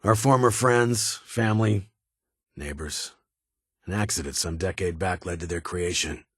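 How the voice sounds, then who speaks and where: A man's voice: deep voice, measured pace, breathy edge, no room sound, clean studio sound. A man playing a stern, guarded military security chief in a video game, with a clipped, authoritative and brusque manner. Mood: grave, warning. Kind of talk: video game character dialogue.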